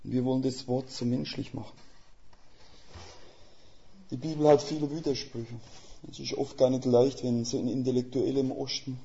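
An older man delivering a sermon in a thick Swiss German dialect, his voice gravelly and mellow. He talks plainly and folksily, with homely images and rhetorical questions. The recording sounds a little dull and lo-fi. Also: medium pitch, flat delivery, moderate pace, long pauses. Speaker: guest preacher; missionary.

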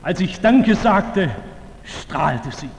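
A man preaching a sermon to a large audience, slow and emphatic with fervent declamation and wry humor. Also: high voice, lively intonation, gravelly. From Württemberg, Germany. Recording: reverberant hall, faint ambience.

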